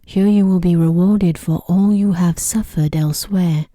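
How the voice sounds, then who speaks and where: A woman voicing a tender, pleading young prince in a hushed, whispery ASMR storybook reading. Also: fairly low pitch, steady tone, normal speed, no echo, studio quality, lightly acted. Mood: tender, reassuring. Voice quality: soft, whispery. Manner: earnest, gentle, hushed.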